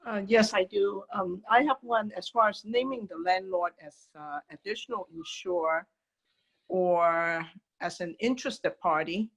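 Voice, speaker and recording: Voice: mid-range voice, unhurried, a bit bright, breathy edge, poor audio. Attendee hesitantly asking a question. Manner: hesitant, with uhs and ums. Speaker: webinar attendee. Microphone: video call.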